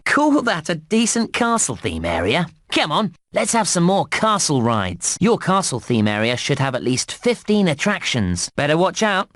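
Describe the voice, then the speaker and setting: A man's voice: on the high side, fairly bright tone, dry recording, clean studio sound. A man voicing an upbeat, encouraging theme park guide in a children's game, with bouncy, exclamatory scripted delivery. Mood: eager, instructive.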